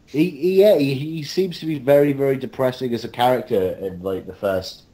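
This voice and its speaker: A man's voice: moderate pace, warm tone. A man in a casual group chat as an interviewed voice actor, talking in a rambling, jokey way over an online call. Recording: rumbly, noisy online call.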